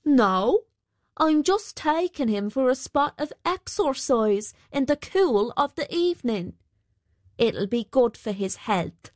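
A woman's voice: high-pitched, sing-song, slow pace, dry recording, studio quality, pitched above the performer's own voice, heavily acted. A woman voicing a boastful male weaver who fancies himself a knight, speaking in grand storybook declarations. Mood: breezy, defiant. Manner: grand, cheerfully self-important declarations. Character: boastful male weaver turned self-styled wandering knight. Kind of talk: storybook character voice.

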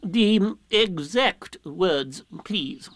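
Very slow drawn-out delivery, pompous owl, raised pitch, hesitant, mock-wise, storybook. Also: male voice, lively intonation, a bit bright, no room sound, exaggerated voice. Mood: ponderous, solemn. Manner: ponderous, hesitant with ums and drawn-out words. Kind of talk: children's storybook character voice.

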